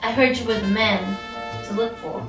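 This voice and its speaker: A cool, mysterious noir femme fatale, played by a woman, echoey and off-mic. Her delivery is flat and measured, with music behind. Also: a little high, recorded from a distance, lightly acted.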